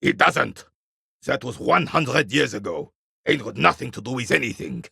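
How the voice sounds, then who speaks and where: An actor playing a bitter, proud royalist war veteran in his eighties in a video game, with a rasping, weathered voice and a haughty, formal military way of talking. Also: mid-range voice, animated, normal speed, sharp tone, no room sound, clean studio sound, full character voice. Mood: bitter regret. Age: 80s. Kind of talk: video game character dialogue.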